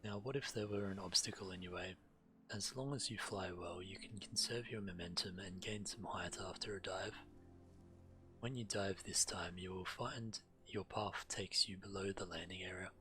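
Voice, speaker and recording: Low-voiced man streaming a fantasy game. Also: moderate pace, pushed and bright. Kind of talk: reading quest text aloud while playing. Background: fantasy game music.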